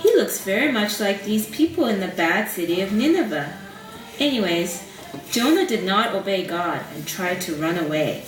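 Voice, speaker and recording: A woman narrating a puppet show story in a simple, expressive, child-directed way. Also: medium pitch, moderate pace, distant mic. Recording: roomy sound with music behind.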